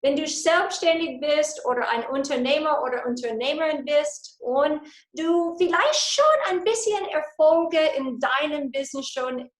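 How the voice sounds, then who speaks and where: A woman's voice: high voice, measured pace, echoey room. American woman coaching in learner's German. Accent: American English. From Texas, USA. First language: English. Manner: enthusiastic, mixes English into imperfect German. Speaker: business coach. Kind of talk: live webinar presentation. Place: her home, indoor.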